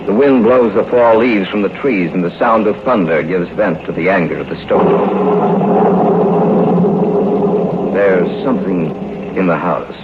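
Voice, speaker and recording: A haunted storyteller narrates gravely in a low voice. His measured, foreboding horror delivery comes through a dull old radio recording with clear noise. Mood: uneasy dread. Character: solemn, haunted male storyteller mourning his best friends.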